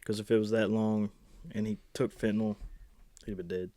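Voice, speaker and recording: A man's voice: lowish voice, flat delivery, fairly quick, mellow. A man in his late twenties co-hosting a casual podcast chat, laid-back and dropping wry one-liners. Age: 20s. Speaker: podcast co-host.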